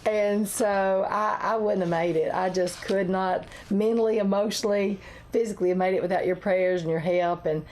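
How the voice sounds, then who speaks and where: A woman's voice: mid-range voice, fairly even intonation, moderate pace, darkish tone, slight background noise. A woman, hosting a local TV chat show, chatty and laughing often. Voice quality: raspy. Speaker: chamber of commerce talk show host. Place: local TV studio.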